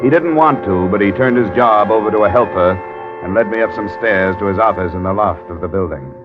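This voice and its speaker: A man's voice: on the low side, fairly quick, music in the background, lightly acted. A man playing a hard-boiled insurance investigator in old American radio drama, clipped and matter-of-fact, with an American accent. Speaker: lead actor and narrator. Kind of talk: 1940s-50s American radio detective acting. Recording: muffled old radio recording.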